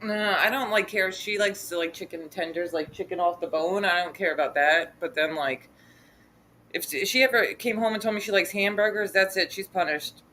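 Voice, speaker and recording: Lady chatting on a hangout stream, bright voice, bit of noise behind her. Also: medium pitch, brisk. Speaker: host of a casual live hangout. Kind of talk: casual chat. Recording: clear background noise.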